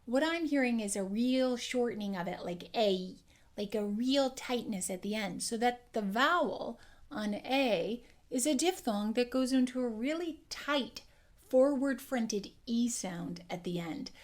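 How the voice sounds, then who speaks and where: A woman dialect coach teaching an accent lesson, explaining clearly and demonstrating sounds. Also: on the high side, expressive, a little slow.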